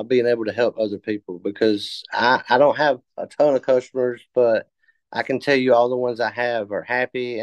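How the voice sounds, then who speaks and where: A man of fifty telling his story in a casual interview, halting with many fillers and restarts. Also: mid-range voice, no echo, poor audio. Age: 50s. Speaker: interview guest.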